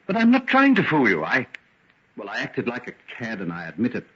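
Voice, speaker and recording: Genteel evasive doctor, earnest and hesitant, swingy pitch, old radio drama with dull lo-fi sound. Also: male voice, wildly swooping pitch, fairly quick, fairly bright tone. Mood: defensive, earnest. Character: genteel, evasive gentleman doctor with a guilty conscience. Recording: dull, lo-fi vintage radio recording.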